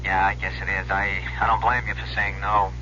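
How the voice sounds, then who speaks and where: An actor playing a smooth-talking young swindler in an old radio drama, hesitant and ingratiating, on a muffled recording. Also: male voice, sits low, flat delivery, brisk, sharp tone, slight background noise. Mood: eager, ingratiating. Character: smooth-talking young male small-time swindler, feigning boyish shyness.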